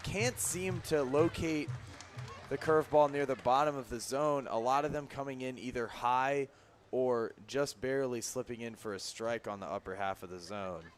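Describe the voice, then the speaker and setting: A man's voice: mid-range voice, normal speed. A man giving conversational, explanatory color commentary on a live baseball radio broadcast. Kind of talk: live sports analysis.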